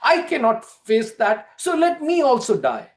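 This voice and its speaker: A man's voice: extremely high pitch, huge pitch swings, measured pace, voice pushed up, heavily acted. A man voicing a distraught, bold young wife in a dramatic, theatrical storytelling style. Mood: anguished, outraged. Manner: wailing, questioning, theatrical.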